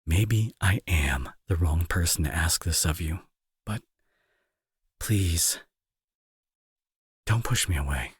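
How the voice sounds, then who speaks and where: A man playing a remorseful, protective bully with a grieving streak, in an intimate dramatic audio roleplay with halting, earnest delivery and a husky, breathy voice. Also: low-pitched, lively intonation, no room sound, studio quality, exaggerated voice. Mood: pleading, tender. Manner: halting, emotional, earnest pleading. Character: angry male bully turned remorseful, protective, grieving brother.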